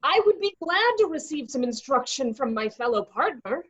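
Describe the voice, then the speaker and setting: A high, slightly muffled voice gives us a wry Shakespearean clown a woman acting a male bawd. He is cheeky and mock-humble, addressing his betters with sly politeness. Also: big pitch swings, normal speed, a bit bright. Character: cheeky bawd turned eager hangman's helper, male clown. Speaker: actor in a staged reading. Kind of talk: Shakespearean comic stage reading.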